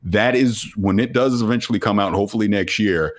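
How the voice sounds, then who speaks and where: A man's voice: fairly low pitch, a little fast. A man talking as a guest on a casual podcast, emphatic and opinionated.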